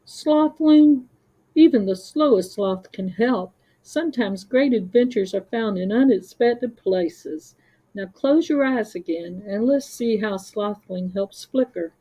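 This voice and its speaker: Lady doing a wise daddy sloth for bedtime stories, soft n mellow, relaxed. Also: medium pitch, rough recording. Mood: soothing. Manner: gentle murmur, unhurried. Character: wise, relaxed father sloth, gentle storyteller.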